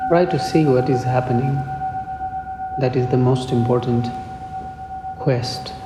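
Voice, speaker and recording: A man leading a guided meditation as its teacher, speaking in a measured way with pauses between short phrases. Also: medium pitch, over loud music. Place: indoor group meditation session. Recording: roomy hall reverb with background noise.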